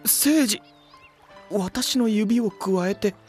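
A woman playing a genial, absent-minded gentleman with easygoing, courtly phrasing in a Japanese audio drama. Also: mid-range voice, slow, soft background music, lightly acted. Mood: flustered, apologetic.

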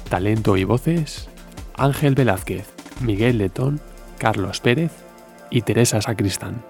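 A man's voice: lowish voice, unhurried, creaky, a little mellow, soft background music. A man from reading scripted programme credits as an announcer.

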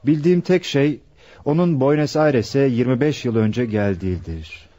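Man acting an earnest, husky young fiancé. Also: medium pitch, normal speed, mellow, close to an everyday voice. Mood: earnest, thoughtful. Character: earnest young fiancé helping a police investigation. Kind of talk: Turkish radio theatre acting. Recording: dull, muffled old radio drama recording.

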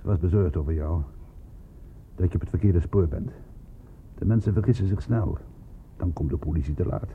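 Actor as very deep-voiced, dry police inspector. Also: male voice, moderate pace, pauses often, a little hiss or hum, lightly acted. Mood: confidential, warning. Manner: terse, dry, insistent questioning. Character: dry, worldly-wise male police inspector, probing and sardonic. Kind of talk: radio play acting. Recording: phone-like thin radio play recording with music.

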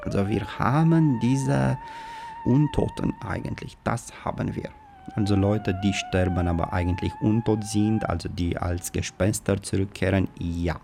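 A man with a Romanian accent explaining as an interviewed historian, in halting non-native German with grammar slips. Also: fairly low pitch, huge pitch swings, creaky, noisy background.

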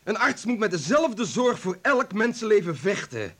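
A man's voice: high voice, big pitch swings, moderate pace, a bit bright, full character voice. A man acting in a Dutch radio play as a weary, principled doctor who defends himself. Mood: indignant.

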